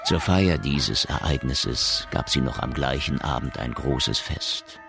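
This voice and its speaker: Very deep, gravelly radio drama narrator. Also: male voice, lively intonation, takes it slowly, heavily acted. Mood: sober. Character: sober male narrator of a science fiction story. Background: music.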